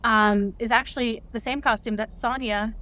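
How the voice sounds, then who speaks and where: A woman's voice: a little high, fairly even intonation, moderate pace, sharp tone. A woman talking casually as an interview guest, explaining things in an earnest manner. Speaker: interview guest, director and writer.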